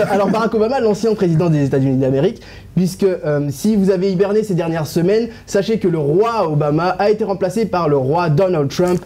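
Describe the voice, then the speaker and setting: A man's voice: sits high, brisk, dark tone. A young man in a studio presenting a celebrity news column, playful and often laughing, sometimes losing his place in his notes. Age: young adult. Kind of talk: playful scripted TV column with banter. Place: TV studio panel set.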